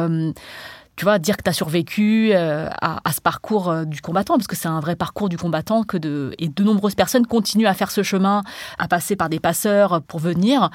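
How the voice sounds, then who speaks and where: A woman's voice: lowish voice, dry recording. A woman co-hosting a podcast, speaking thoughtfully with frequent hesitations. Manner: thoughtful, hesitant, many fillers. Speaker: podcast co-host. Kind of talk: casual podcast conversation.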